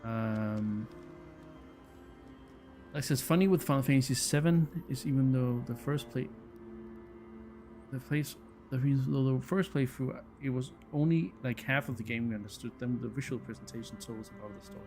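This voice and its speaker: A man's voice: medium pitch, moderate pace, pauses often, darkish tone, soft background music. A man in his thirties from Denmark, a native Danish speaker with a Danish accent, casually chatting with viewers as a game streamer, hesitant and often repeating words. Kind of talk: casual chat with viewers while playing.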